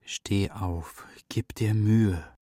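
An actor playing a gruff old grandfather in a radio play, with a husky, breathy voice and weak, halting speech. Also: fairly low pitch, steady tone, warm tone, dry recording, clean studio sound. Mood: urgent, weak. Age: older. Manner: weak, laboured, halting delivery. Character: gruff, wounded old Mongolian herdsman grandfather.

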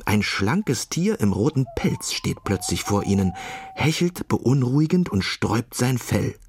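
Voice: medium pitch, sing-song, measured pace, a little mellow, a little hiss or hum. A storyteller reading a children's tale expressively as narrator.

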